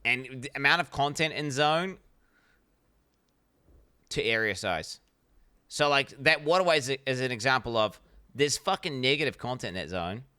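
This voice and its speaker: Blunt New Zealand man, bright crisp voice. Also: medium pitch, expressive, normal speed, long pauses, no room sound, clean studio sound. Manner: blunt, profane, rambling with many restarts. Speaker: streamer. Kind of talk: casual reaction stream commentary.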